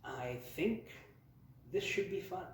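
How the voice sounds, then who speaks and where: A man telling humorous personal stories as a host, in a theatrical, mock-grand way with dramatic pauses. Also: sits high, animated, slight background noise. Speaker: storyteller, host of a storytime series. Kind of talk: humorous personal storytelling to camera. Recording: very echoey, roomy sound.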